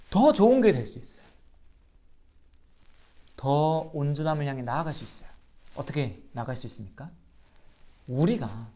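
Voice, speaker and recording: A man's voice: mid-range voice, dark tone. A man, a pastor, giving an earnest sermon-style Bible lecture and asking his listeners rhetorical questions. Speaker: pastor teaching a Bible class.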